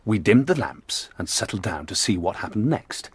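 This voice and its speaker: A theatrical detective, very animated. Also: male voice, on the low side, normal speed, slightly rough, exaggerated voice. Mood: suspenseful. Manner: theatrical, dramatic storytelling. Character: shrewd, self-assured male detective relishing his explanations. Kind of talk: audio drama acting and narration.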